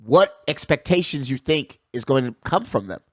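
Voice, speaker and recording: A man's voice: mid-range voice, lively intonation, moderate pace, dry recording, studio quality. A man hosting a sports show with casual, slangy commentary, hyping his points and repeating phrases for emphasis. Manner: slangy, hype-man delivery with frequent check-in phrases.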